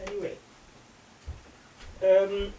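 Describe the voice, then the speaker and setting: A man giving an informal technical explanation in a halting way, often dropping in small filler phrases as he presents. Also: high-pitched, monotone, mellow, a little hiss or hum, low-quality recording. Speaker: presenter of electronics project videos. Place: at home, indoors.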